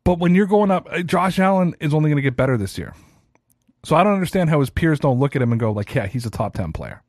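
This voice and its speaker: A man's voice: a little high, very animated, fast talker, darkish tone, dry recording, studio quality. A man in his thirties hosting a solo sports podcast, talking casually and ranting with a self-deprecating streak. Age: 30s. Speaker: podcast host.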